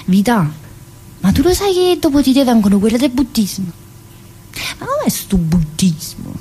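Casting: actress for a troubled female psychiatric prisoner in a radio monologue, Neapolitan accent, husky voice, confiding and rambling. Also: fairly high pitch, very animated, mellow, exaggerated voice. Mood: chatty, wry. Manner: colloquial, confiding, rambling, with abrupt pauses and interjections. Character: troubled, talkative woman inmate of a psychiatric prison.

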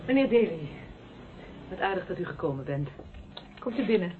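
Genteel, uneasy hostess played by an actress, tinny recording. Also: female voice, lowish voice, expressive, moderate pace, lightly acted. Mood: polite, welcoming. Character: polite, uneasy society hostess, discreetly probing. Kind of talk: Dutch radio drama acting. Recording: tinny, narrow old radio recording, faint noise.

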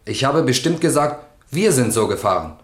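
An actor playing a defensive, halting male defendant in a German radio crime drama. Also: medium pitch, very animated, close to an everyday voice. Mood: defensive, terse. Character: defensive male defendant in a fatal car crash trial. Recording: roomy, echoing courtroom sound.

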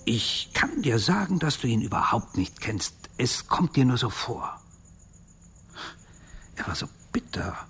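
Ein Schauspieler spielt im Hörspiel einen bedrückten, nachdenklichen Akademiker, der behutsam berichtet. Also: male voice, medium pitch, sing-song, unhurried, vocal fry, pauses often, a bit bright, heavily acted. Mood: uneasy, reflective. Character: troubled, reflective male academic, recalling a colleague. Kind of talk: radio drama dialogue.

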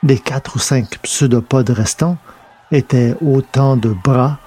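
A man's voice: mid-range voice, slow pace, mellow, slightly rough. A man reading a scripted science fiction adventure as narrator.

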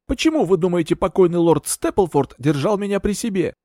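A man's voice: high voice, big pitch swings, brisk, mellow, no echo, pitched above the performer's own voice. Scheming, feverish old servant woman read by a man, sly and taunting, in a dry booth.

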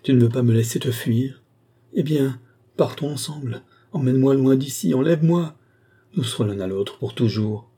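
A man's voice: medium pitch, animated, moderate pace, dark tone, breathy edge, pitched above the performer's own voice. A man voicing a passionate, anguished adulterous wife in a storybook, pleading in a desperate, melodramatic way. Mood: ecstatic pleading. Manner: melodramatic, imploring.

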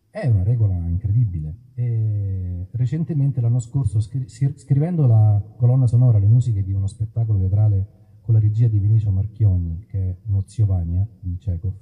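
A man's voice: lowish voice, moderate pace, breathy edge, phone-quality sound. A man talking to his audience in a colloquial, theatrical way during a live outdoor stage show. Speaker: musician, singer performing a show. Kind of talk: live stage banter between songs. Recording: live stage performance with music.

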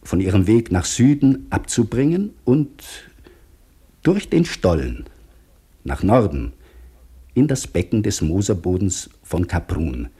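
A reflective, anxious professor narrating his own story in a radio drama. He speaks low and very slowly, weighing his phrases, with a swelling and sinking delivery that can drop toward a hush. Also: sing-song, breathy edge, exaggerated voice. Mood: reflective, explanatory. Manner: measured, reflective, dropping to near whisper. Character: anxious geology professor starting over as a dam labourer. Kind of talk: German radio play first-person narration.

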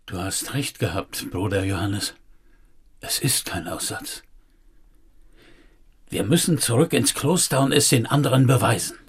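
An actor in a radio drama playing an earnest monastery brother with a husky voice. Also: male voice, mid-range voice, measured pace, long pauses. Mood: earnest, hushed. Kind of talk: German radio drama acting.

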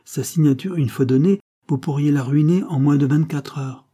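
A man's voice: a little high, normal speed, slightly rough, pitched above the performer's own voice. A man voicing a stern older aristocrat who scornfully accuses his nephew. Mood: stern accusing. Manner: forceful, indignant reproach.

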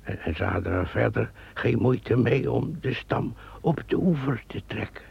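An actor playing a brooding pond keeper who muses and stammers in a radio play. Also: male voice, medium pitch, moderate pace, full character voice. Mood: musing. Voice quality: gravelly, raspy. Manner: musing, stammering. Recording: dull lo-fi recording.

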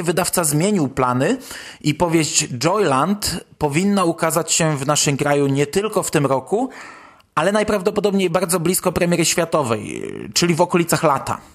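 A man's voice: fairly high pitch, normal speed, slightly rough. A man hosting a podcast, reading prepared news in a presenter's narration. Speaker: podcast host.

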